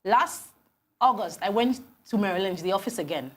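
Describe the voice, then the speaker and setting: A Nigerian woman in her forties, a TV interview guest telling animated stories about her career with many restarts. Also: mid-range voice, normal speed, a little hiss or hum. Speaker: guest, HR consultant. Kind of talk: TV interview conversation.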